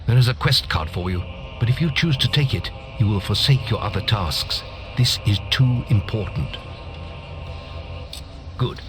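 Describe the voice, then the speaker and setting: A solemn older mentor from a fantasy game. His voice is deep, and he gives formal, commanding instructions with weight. Music plays behind him. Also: expressive, unhurried, long pauses. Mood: urgent. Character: grave, commanding older male guild master mentor. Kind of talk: scripted fantasy game acting.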